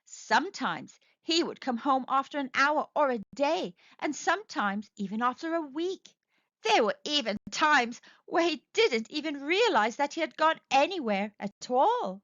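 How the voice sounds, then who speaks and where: A woman gently and expressively reading a children's storybook aloud. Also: high-pitched, very animated, a little slow, no echo, muffled recording. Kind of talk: children's read-aloud narration.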